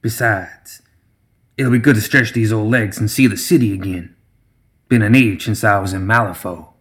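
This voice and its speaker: A man voicing an ancient gremlin brewmaster, a proud, gruff moonshiner with a slow drawl in a fantasy storybook. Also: lowish voice, a bit bright, close to an everyday voice. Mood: easygoing.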